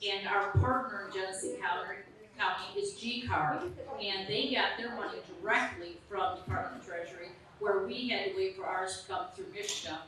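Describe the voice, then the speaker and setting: Loud direct woman speaking at a meeting. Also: medium pitch, moderate pace. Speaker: charity CEO giving a talk. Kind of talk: informal presentation to a meeting. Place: meeting venue room. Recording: very echoey room, distant mic, background noise.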